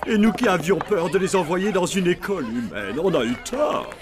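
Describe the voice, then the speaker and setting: A man's voice: high voice, expressive, moderate pace. A man voicing a genteel, proud zombie father who runs a hotel in a cartoon, polished and theatrical. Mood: regretful. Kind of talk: French animated cartoon acting. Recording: loud music behind the voice.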